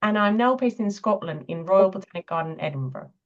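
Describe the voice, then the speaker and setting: A woman's voice: mid-range voice, lively intonation, brisk, muffled recording. A woman from Finland, not a native English speaker, chairing an online webinar with some hesitant fillers. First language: Finnish. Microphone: video call.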